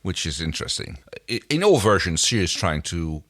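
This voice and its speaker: A man's voice: low voice, sing-song, moderate pace, a bit bright, no room sound, clean studio sound. A man co-hosting a casual, analytical recap conversation. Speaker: podcast co-host.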